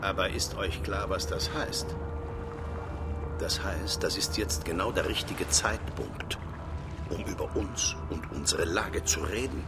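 Low-voiced, blunt, hot-tempered seaman. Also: a little slow. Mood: impatient. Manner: blunt, sarcastic, swearing. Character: gruff, impatient hot-tempered harpooner eager to escape. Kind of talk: radio play dialogue. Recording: music behind the voice. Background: music.

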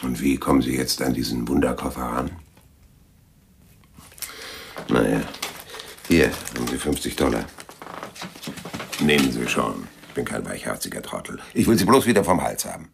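Gruff male private eye for radio crime drama: gravelly, terse, sardonic, faint noise behind. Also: extremely low pitch, animated, a little fast, exaggerated voice. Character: gruff, dry, hard-boiled male private detective, reluctantly helpful. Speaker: actor in a radio play. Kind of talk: 1990s German radio crime drama acting.

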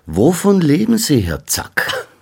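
An actor playing a gruff, cynical, hard-drinking Austrian police detective in a radio crime drama, sardonic and coarse, muttering asides. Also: male voice, on the high side, wildly swooping pitch, slow, exaggerated voice. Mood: brusque, sardonic. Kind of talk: German-language radio play dialogue and inner monologue.

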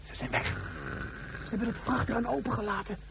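An actor playing an anxious engineer in a science fiction radio play, urgent and agitated. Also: male voice, very high voice, fairly even intonation, fairly quick, vocal fry. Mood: alarmed. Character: anxious male engineer racing to stop an invasion. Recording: noisy radio play recording.

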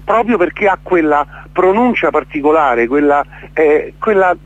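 A man's voice: fairly high pitch, lively intonation, unhurried. A man being interviewed on the radio as an event organiser, explaining emphatically and with emotion, repeating words for stress. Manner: impassioned, emphatic, repeats words, checks with "capito?". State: emotionally worked up. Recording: dull, lo-fi radio sound.

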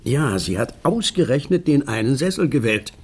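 An earnest, proper sidekick in a radio drama. His medium-pitched voice is husky, and he talks politely and with measure. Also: big pitch swings, normal speed, a little mellow. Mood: earnest, agreeable. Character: earnest, proper male companion of a detective. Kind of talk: German radio drama acting.

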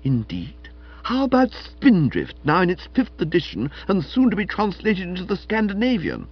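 A man's voice: high-pitched, huge pitch swings, normal speed, husky, faint music behind, pitched above the performer's own voice, exaggerated voice. Casting: imperious young modern woman done by a male reader, snappish and stiff, very swoopy intonation, slightly dull sound. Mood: haughty, indignant. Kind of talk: comic audiobook character acting.

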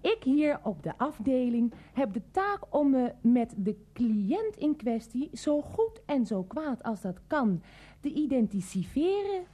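Casting: prim, moralizing shopkeeper for radio comedy, wordy and insistent, high soft-edged voice with big swoops. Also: female voice, big pitch swings, unhurried, full character voice. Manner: verbose, formal, lecturing. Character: pedantic, insistent greengrocer saleswoman who moralizes at customers. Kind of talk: comic radio play acting.

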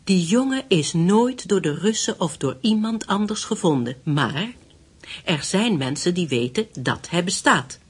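A determined but anxious widow in a radio play. Her voice is low and husky, and she speaks intensely, with hesitant repetitions and firm emphasis. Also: expressive, unhurried. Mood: urgent, determined. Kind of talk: radio drama acting.

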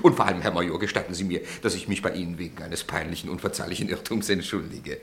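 A man's voice: deep voice, fairly even intonation, quick pace, a bit bright. An actor playing a suave, proud agency director in a German radio drama, talking in florid, courteous salesman's phrases. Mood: courteous, grand.

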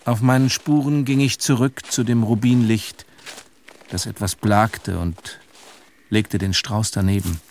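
An actor playing a somber, reflective grown son who narrates a literary radio play in a measured, pensive manner. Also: male voice, on the low side, fairly even intonation, darkish tone, a little hiss or hum. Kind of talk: literary first-person radio drama narration.

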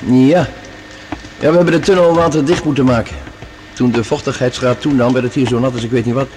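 A man's voice: medium pitch, fairly even intonation, brisk, darkish tone, close to an everyday voice. A male actor in a radio play as an affable curator on a Mars base, talking casually with a gossipy, hesitant manner. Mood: chatty, friendly. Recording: very echoey cave-like hall, faint noise.

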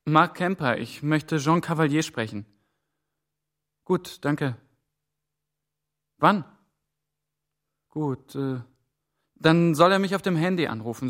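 A man's voice: fairly high pitch, fairly bright tone, dry recording, studio quality. An actor playing an anxious, impatient man caught up in a conspiracy in a German radio play, with a natural, muttering manner. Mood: businesslike.

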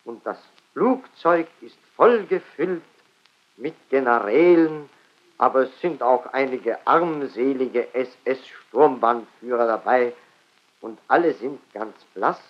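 A man's voice: medium pitch, lively intonation, slow, dull audio. An actor playing a hapless, naive army private telling his woes in a folksy way on an archival 1940s satirical radio broadcast. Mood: agitated. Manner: folksy, mock-naive storytelling.

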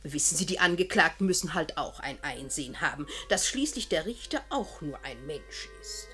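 An actress in a radio play playing a smug, chatty judge's wife with a self-important, condescending manner. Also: female voice, low voice, lively intonation, normal speed, bright, forceful tone, soft background music. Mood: chatty, admonishing.

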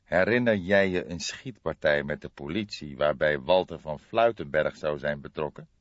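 A brooding older police detective played by a male reader in a crime audiobook. He is deep-voiced and weighs his words with formal care. The recording is very dry and a little dull. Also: animated, no room sound. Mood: probing. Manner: deliberate, formal, ponderous. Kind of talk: audiobook character dialogue.

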